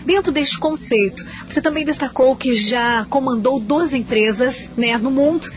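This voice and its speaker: A woman hosting a radio interview at an event, asking formal questions in a steady way. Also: sits high, normal speed, highs cut off.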